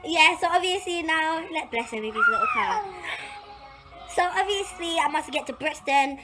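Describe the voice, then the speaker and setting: A young adult woman with a London accent telling a personal story in casual, slangy, animated chat, repeating phrases for emphasis. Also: very high voice, moderate pace, loud music behind the voice, rough recording.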